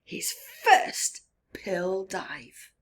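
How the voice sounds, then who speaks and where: Slow, expressive woman reading to children. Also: medium pitch. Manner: expressive, dramatic, with gasps, whispers and questions to the listener; slow, simple child-directed phrasing. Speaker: storyteller for children. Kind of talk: animated read-aloud of a picture book with asides to young listeners.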